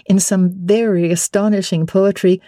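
A woman's voice: mid-range voice, steady tone, moderate pace, mellow, dry recording, studio quality, natural voice. A woman playing a spirited, frank young bride-to-be with a playful, challenging manner in a dramatic novel reading.

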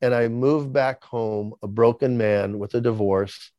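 A man's voice: mid-range voice, steady tone, measured pace, no echo, dull audio. A man in his sixties with a lisp, candidly telling his life story as an interview guest, often repeating words as he starts a sentence. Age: 60s. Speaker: podcast guest.

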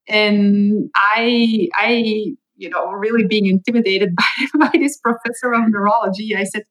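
A woman's voice: medium pitch, unhurried, a bit bright, poor audio. A middle-aged woman from Belgium with a Flemish accent, a native Dutch speaker, guest on an interview podcast, telling her story earnestly and thoughtfully with small fillers. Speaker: chief medical officer, guest.

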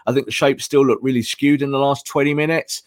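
A hoarse man analyzing football tactics on a podcast, emphatic and repeating his points. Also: medium pitch, steady tone, quick pace, no echo, clean studio sound.

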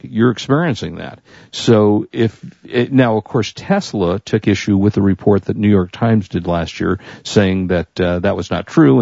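A man's voice: fairly low pitch, vocal fry, mellow, no echo. An American man hosting a talk show, chatting casually about news in a rambling, conversational way. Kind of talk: casual tech and consumer news chat. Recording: dull lo-fi audio.

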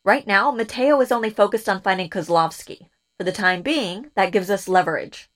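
A woman playing a brisk scheming leader. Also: medium pitch, lightly acted. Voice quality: brisk and decisive, dry. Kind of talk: audio drama acting.

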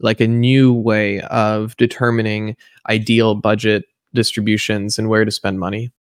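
A man's voice: fairly low pitch, flat delivery, a little slow, no room sound, clean studio sound. A man hosting a podcast, asking questions in a conversational interview style.

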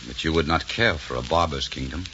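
A man's voice: extremely low pitch, fairly quick, sharp tone. An actor playing a proud, gallant, romantic Frenchman in theatrical 1940s radio style. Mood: wistful, proud. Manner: theatrical, impassioned. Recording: noisy, muffled 1940s radio recording.

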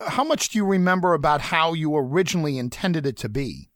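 A male radio host with a slightly higher voice who praises his guest with a lot of enthusiasm. He speaks close to the mic in a dry studio. His patter is steady and practiced. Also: a little fast, dry recording. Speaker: radio talk show host. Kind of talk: radio interview.

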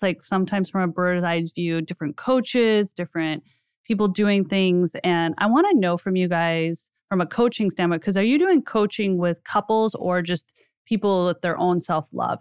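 Curious chatty woman host, smooth clear voice, dry sound. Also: fairly low pitch, dry recording. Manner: chatty, curious, laughs easily. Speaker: podcast host. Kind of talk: casual interview chat.

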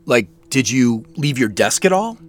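A man's voice: mid-range voice, sing-song, a little slow, slight background noise. A man playing a casual, probing detective in a naturalistic audio drama, dry and inquisitive. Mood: questioning. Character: dry, inquisitive male detective working a case.

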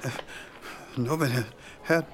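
A man's voice: medium pitch, a bit bright, a little hiss or hum, natural voice. Man playing a shy stammering young Southerner. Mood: bashful eager. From Macon, Georgia. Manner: hesitant, stammering, quick. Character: eager shy young man from rural Georgia.

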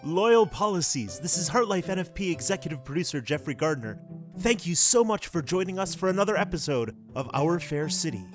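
A man's voice: on the high side, big pitch swings, fairly quick. A man reading a scripted podcast announcement as the show's producer. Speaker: executive producer. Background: faint music.

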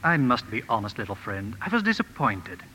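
An actor playing a kindly, earnest professor in a 1950s radio drama, speaking gently and formally with deliberate phrasing. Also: male voice, medium pitch, sing-song, a little hiss or hum. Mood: earnest criticism. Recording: old radio broadcast, reverberant, music behind.

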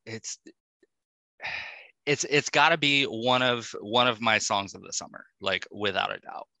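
Bright-voiced man hosting, unhurried. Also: lowish voice, creaky, no room sound. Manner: gushing, enthusiastic. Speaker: podcast host. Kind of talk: casual interview chat.

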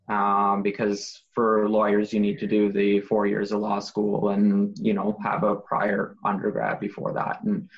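A man, a paralegal guest on a podcast, explaining his profession methodically with frequent pauses and fillers. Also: lowish voice, muffled recording. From Canada. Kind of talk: interview answers.

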